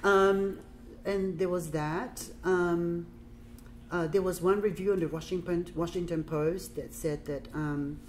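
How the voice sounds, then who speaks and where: A woman with a Samoan accent and a low voice speaks as a guest artist at a gallery talk. She talks loosely and chattily, with many fillers. A light hiss sits under her voice. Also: steady tone, measured pace. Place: gallery room, indoors.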